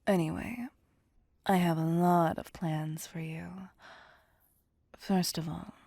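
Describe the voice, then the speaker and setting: An ancient female vampire, acted by a woman for an ASMR roleplay, possessive and playful. She speaks very slowly in a dark, breathy near-whisper, coaxing and commanding the listener as her prey. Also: fairly low pitch, steady tone, no echo, clean studio sound, full character voice. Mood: playful, domineering. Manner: slow, teasing, coaxing and domineering.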